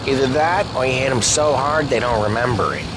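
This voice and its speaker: An actor playing a talkative, cocky 1930s gangster in video game dialogue. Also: male voice, mid-range voice, lively intonation, brisk, lightly acted. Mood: teasing, brisk. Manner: cocky, colloquial. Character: talkative, streetwise male 1930s mobster. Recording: clear car engine noise.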